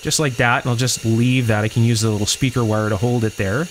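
Guy doing a repair demo, average pitch, talks thru each step methodically while he works. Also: steady tone, a little fast, a little hiss or hum. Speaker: electronics repair host. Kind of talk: hands-on repair demonstration, talking while working.

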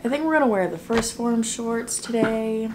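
A young woman vlogger chatting casually to a handheld camera in her bedroom and car, drawn-out, with frequent "like" and some whispered asides. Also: fairly high pitch, noisy background. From Texas, US. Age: young adult. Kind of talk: casual vlog chat to camera. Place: bedroom at home, then car. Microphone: camera at arm's length.

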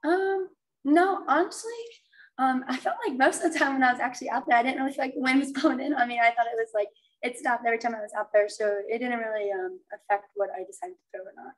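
Young woman pitcher, somewhat high voice. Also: far from the mic, low-quality recording. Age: young adult. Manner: halting, many ums. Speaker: college softball pitcher. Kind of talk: press conference answers. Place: press room, seated at a table.

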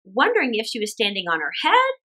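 A middle-aged woman reading a story aloud to young children in a theatrical, expressive way with drawn-out words. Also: a little high.

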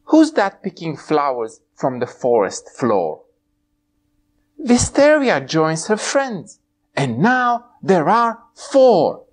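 Animated, slow-paced male storybook reader. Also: a little high. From Romania. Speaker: read-aloud host. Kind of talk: expressive children's storybook reading. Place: small room indoors.

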